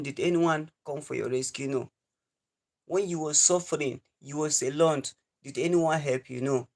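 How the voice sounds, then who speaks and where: A man's voice: fairly high pitch, a little mellow. A man in his twenties with a Nigerian accent, hosting a livestream and giving an earnest, repetitive lecture to his viewers. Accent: Nigerian English. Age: 20s. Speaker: live stream host. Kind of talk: preachy livestream monologue.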